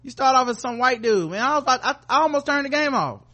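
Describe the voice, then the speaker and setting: A man's voice: very high voice, lively intonation, quick pace, pushed and bright. A man hosting a podcast in casual, joking banter with informal, profane language. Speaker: podcast host.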